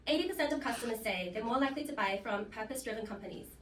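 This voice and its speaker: A woman's voice: a little high, expressive, racing, fairly bright tone, a little hiss or hum. A woman hosting a scripted game show with playful, teasing banter. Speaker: game show host of a student role play. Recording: echoey, distant mic, music behind.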